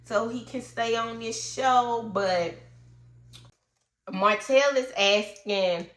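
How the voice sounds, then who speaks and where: A woman's voice: on the high side, steady tone, takes it slowly, fairly bright tone, echoey room. Stuffy-nosed woman chatting about reality TV. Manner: chatty, opinionated, loose and informal. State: congested, stuffy nose. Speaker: reality TV recap host. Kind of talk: casual commentary.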